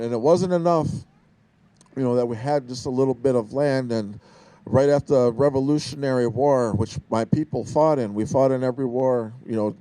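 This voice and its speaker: A middle-aged man with a big, carrying voice, giving an earnest, storytelling speech to a crowd, often pausing with "uh" and restarting his sentences. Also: medium pitch, mellow. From Wisconsin, USA. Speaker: invited speaker. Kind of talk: public speech at an outdoor event.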